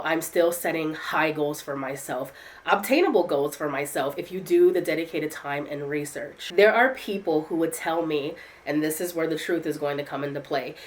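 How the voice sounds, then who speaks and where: Low-voiced woman vlogger with a stuffy cold. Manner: candid and motivational, chatty address to viewers. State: congested with a head cold. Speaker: content creator. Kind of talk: casual talking-to-camera vlog.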